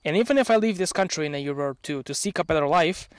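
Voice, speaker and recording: A young man speaks in a fairly high, light voice into a handheld mic out in an open field. His delivery is earnest and measured, slipping into dry, sardonic asides. The sound is close and dry, with no room around it. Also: expressive, smooth, dry recording. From Bosnia and Herzegovina. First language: Serbian. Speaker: channel owner. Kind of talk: prepared opinion speech. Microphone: handheld microphone.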